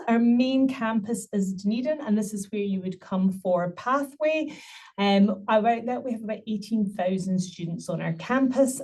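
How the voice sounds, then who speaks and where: A woman's voice: a little high, warm tone, recorded from a distance. A middle-aged woman giving an upbeat university webinar presentation, promoting her city with frequent ums.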